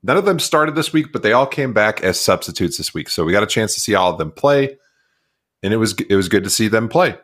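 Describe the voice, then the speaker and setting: A man with a deep voice co-hosting a casual sports podcast, easygoing and laughing often. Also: animated, a little fast, a bit bright, breathy edge. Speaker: podcast co-host.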